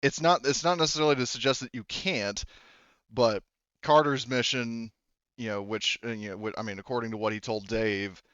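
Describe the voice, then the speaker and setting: A man narrating casually as game master, thinking aloud in a halting way. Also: medium pitch, steady tone, a bit bright, clean tone, no echo, lo-fi sound.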